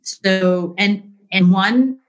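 A middle-aged woman talks through her career stories with lively energy, tossing in "like" and "right?" as she goes. Her voice sits at an ordinary middle pitch. She sounds relaxed and candid, like she is chatting rather than presenting. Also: very slow, muffled recording. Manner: animated, frequent "right?" and "like", laughs easily. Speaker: interview guest, business leader. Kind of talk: candid conversational storytelling.

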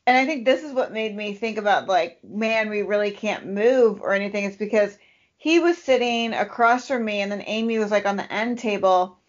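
A woman's voice: medium pitch, normal speed. A woman co-hosting a podcast, telling stories in a casual, chatty way with "like" sprinkled all through. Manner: chatty, uses "like" constantly. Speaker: podcast co-host. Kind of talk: casual conversational storytelling. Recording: dull lo-fi podcast audio.

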